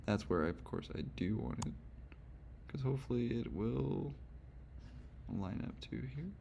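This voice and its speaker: A hoarse young man giving a casual, rambling software tutorial, correcting himself often as he goes. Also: on the low side, lively intonation, a little slow, a little hiss or hum. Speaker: instructor. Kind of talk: screen-recorded software tutorial.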